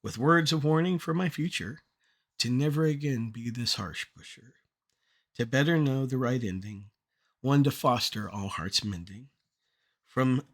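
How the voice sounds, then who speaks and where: Man, medium pitch, poetry recitation, earnest, deliberate phrasing, measured pauses, poet reading own work. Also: fairly bright tone. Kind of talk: poetry recitation at a draft table read.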